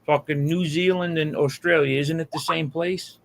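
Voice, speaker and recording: A man's voice: on the high side, fairly even intonation, moderate pace, vocal fry. An American man in casual chat on a live hangout, with a slangy, profane way of talking.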